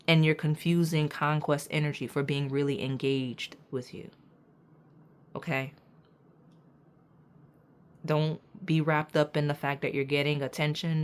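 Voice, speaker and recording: Raspy woman, pretty low voice, doing a tarot reading, keeps going okay? right? and calls everyone y'all. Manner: emphatic, preachy, repeats "okay?" and "right?"; casual slang, addresses viewers as y'all. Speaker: tarot reader. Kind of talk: pick-a-card tarot reading, direct to viewers.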